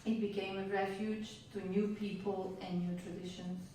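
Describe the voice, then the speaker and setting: A woman from Yugoslavia, a native Hungarian speaker, performing a spoken-word monologue on a venue stage in a measured, reflective way with wry asides. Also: medium pitch, flat delivery, mellow, slight background noise. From Yugoslavia (Vojvodina). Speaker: stage storyteller. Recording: roomy, distant live hall sound.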